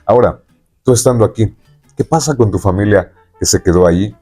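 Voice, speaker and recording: A man's voice: fairly low pitch, a little fast, mellow, faint music behind. A man with a Mexican accent hosting a conversational interview, talking in a rambling way and often checking in with tag questions. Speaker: podcast host interviewing a guest.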